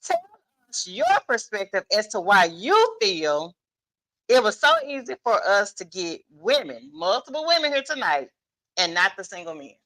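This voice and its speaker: A woman's voice: mid-range voice, very animated, normal speed, bright, forceful tone, low-quality recording. A woman hosting a live panel in a casual, playful and commanding way, repeating phrases for emphasis. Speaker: guest moderator and host. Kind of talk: lively unscripted event hosting, casual banter. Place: live podcast panel event.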